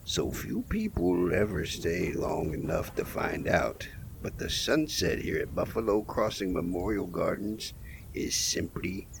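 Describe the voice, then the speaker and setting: Guy doing a wry old-fashioned gravedigger telling horror stories, slow and deep, noisy recording. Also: sing-song, creaky, heavily acted. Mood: wryly macabre. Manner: wry, theatrical. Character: folksy gravedigger narrator of small-town horror tales, wryly macabre. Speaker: narrator. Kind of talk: scripted horror storytelling.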